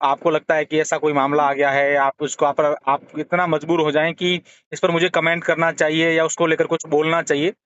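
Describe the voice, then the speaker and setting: A man with a high, clear voice conducts an unscripted phone interview. He asks probing questions haltingly, often rewording them midway. The sound is a dull, lo-fi call recording. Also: little pitch movement, a bit bright. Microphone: telephone.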